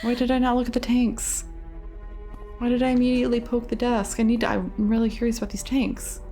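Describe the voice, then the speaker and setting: A woman's voice: on the high side, flat delivery, brisk, dark tone, soft background music. A woman hosting a let's play, giving casual commentary on a game with wry, joking asides.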